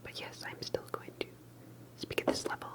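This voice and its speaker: Whispering, secretive woman in ASMR roleplay. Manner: hushed, secretive, hesitant with soft pauses. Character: secretive, cautious investigator interviewing an alien abductee.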